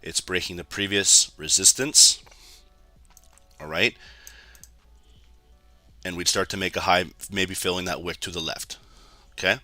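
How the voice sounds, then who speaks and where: A man from Canada with a raspy, hoarse voice, giving casual live commentary on a trading stream and talking straight to his viewers. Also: sits low, steady tone, measured pace, long pauses, sharp tone, no echo, faint music behind. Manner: chatty, keeps addressing viewers as guys.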